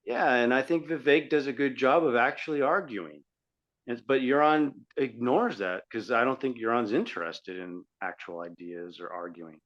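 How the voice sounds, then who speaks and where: A man's voice: mid-range voice, moderate pace, a bit bright. A man co-hosting a podcast, giving argumentative, critical political commentary in a conversational style. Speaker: podcast co-host. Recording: dull lo-fi audio.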